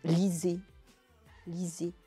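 A woman in her sixties answering interview questions, slowly and hesitantly, with many fillers and restarts, seated in a large bare indoor hall. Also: fairly low pitch, monotone, dark tone, soft background music. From France, Pays de Gex. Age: 60s. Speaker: interviewee, literary event organizer.

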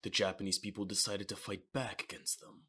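A man with a husky voice reading scripted dramatic narration for a manga audio drama. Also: on the low side, level tone, fairly quick, sharp tone.

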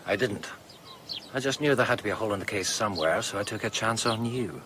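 An actor playing an earnest, persistent amateur detective in a radio drama, questioning insistently. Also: male voice, lowish voice, quick pace, sharp tone, slight background noise. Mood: cool, firm.

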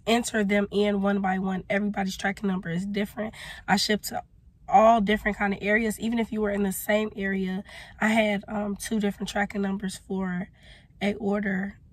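A young woman with a hoarse voice, explaining herself in a casual vlog from her parked car. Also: medium pitch, little pitch movement, moderate pace, no room sound. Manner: defensive, explaining, gestures with her hand. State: hoarse, recovering from losing her voice. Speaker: small business owner vlogger. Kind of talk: casual direct-to-camera talk. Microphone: phone camera close up.

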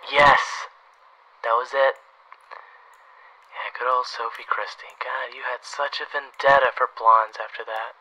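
A man's voice: medium pitch, steady tone, a little slow, pauses often, bright, forceful tone, rough recording. A man playing a wry, wounded older brother and assassin in an audio roleplay, speaking hesitantly and conversationally. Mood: teasing, nostalgic. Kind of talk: audio roleplay drama acting.

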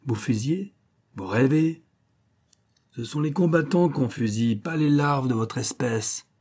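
A man voicing a brutal, sneering male SS officer in an audiobook, shouting with contempt. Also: fairly low pitch, fairly even intonation, measured pace, slightly rough. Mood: contemptuous.

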